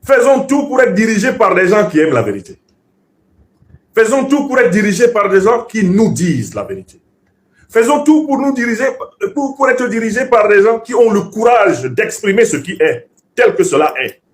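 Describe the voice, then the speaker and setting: Man, Bassa speaker, didactic political orator, emphatic, highly varied intonation, slightly high voice. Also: big pitch swings, normal speed. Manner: emphatic, professorial, rhetorical repetition. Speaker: political commentator.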